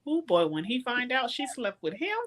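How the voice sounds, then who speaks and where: A woman's voice: on the high side, big pitch swings, normal speed, fairly bright tone. A woman chatting casually about books on a live show, speaking colloquially and with emphasis. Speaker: book readalong live show host. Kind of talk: casual chat.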